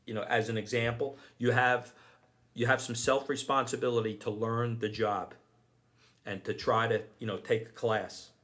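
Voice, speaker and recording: A man's voice: mid-range voice, little pitch movement, normal speed, a bit bright. A middle-aged man, a deputy fire chief giving earnest advice in a podcast interview, often repeating himself and adding "okay".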